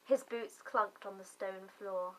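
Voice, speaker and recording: A woman narrating a story in a theatrical, deliberate manner, swelling on key phrases for emphasis. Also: medium pitch, rough recording. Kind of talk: dramatic story reading.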